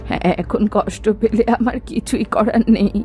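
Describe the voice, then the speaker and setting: A woman's voice: medium pitch, fairly even intonation, normal speed, warm tone, lightly acted. A cartoon old village woman, acted by a woman, poor, stubborn and keen on money. She talks in a plaintive, defensive, homely way, with music playing faintly behind her. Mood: defiant. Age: older. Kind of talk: Bengali cartoon moral-story dialogue.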